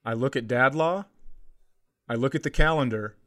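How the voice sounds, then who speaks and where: A man's voice: mid-range voice, normal speed, a bit bright. A man co-hosting a comedy podcast, riffing casually with sarcastic, exasperated humour. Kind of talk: casual comic chat.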